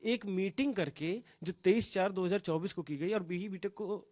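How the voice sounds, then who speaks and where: A man's voice: high-pitched, normal speed, a little mellow. A man, complaining insistently and pleadingly in a news interview, repeating himself. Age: 20s. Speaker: job candidate interviewed by news. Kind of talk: impassioned spoken complaint to reporter.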